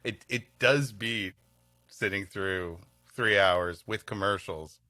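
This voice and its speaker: A man's voice: fairly low pitch, takes it slowly, bright, forceful tone, dry recording. A man co-hosting a podcast in casual comic banter, joking in a mock-serious way. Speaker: podcast co-host.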